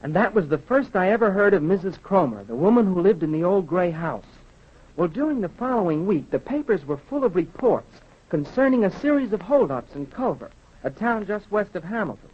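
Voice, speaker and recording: A man's voice: sits high, husky, exaggerated voice. An American male actor playing an earnest, polite boy telling his adventure in a 1930s radio drama. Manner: polite, eager. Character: earnest, eager boy storyteller Jimmy. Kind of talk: 1930s radio acting, narrating. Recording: dull, muffled 1930s radio recording.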